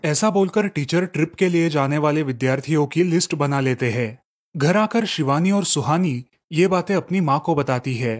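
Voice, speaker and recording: A man narrating a cartoon story with a steady storytelling delivery. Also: fairly high pitch, normal speed.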